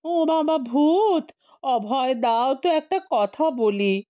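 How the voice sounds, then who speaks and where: A woman's voice: high voice, expressive, takes it slowly, lo-fi sound. A woman voicing a crotchety, crafty old bald man in a comic audio story. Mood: meek, wheedling. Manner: grumbling, then wheedling and mock-meek.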